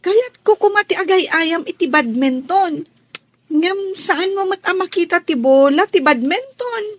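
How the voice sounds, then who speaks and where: A woman plays a sweet, loving young Filipino child in a radio drama. The voice is extremely high and unhurried, with a dry, close sound. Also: animated, no echo. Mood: earnest, affectionate. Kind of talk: Philippine radio drama acting.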